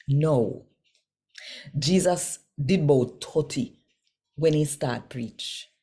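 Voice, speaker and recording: An older woman with a Jamaican accent reading scripture in Patois in a measured, deliberate way. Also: sits low, dark tone, husky. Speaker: Bible reader and presenter. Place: small home room, indoors.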